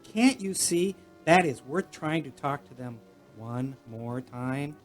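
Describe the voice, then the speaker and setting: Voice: mid-range voice, animated, slow pace, soft background music. An actor playing an innocent, eager young boy space hero in a radio play, with an earnest, wholesome manner. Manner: earnest, wholesome, gee-whiz.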